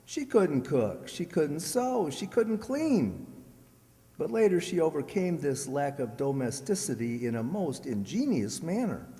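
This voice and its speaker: A man's voice: on the high side, big pitch swings, unhurried, mellow. A man playing a sardonic, put-upon banker husband with dry exasperation in an old-time radio sitcom. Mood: wry, smug. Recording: echoey hall, long reverb.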